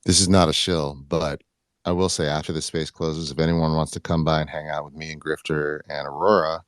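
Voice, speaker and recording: A man's voice: very deep voice, flat delivery, fairly quick, dry recording, studio quality. A man from the United States chatting casually and informally, inviting people along as a community speaker. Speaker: guest speaker and community host. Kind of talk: casual chat.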